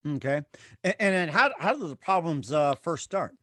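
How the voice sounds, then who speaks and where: A man's voice: a little high, animated, moderate pace, a bit bright, slight background noise. A man hosting an interview podcast who asks rambling questions padded with fillers. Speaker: podcast host.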